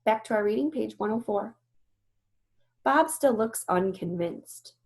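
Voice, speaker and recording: A woman's voice: medium pitch, animated, measured pace. A woman teacher reading a storybook aloud and explaining it, instructive and often checking that listeners understand.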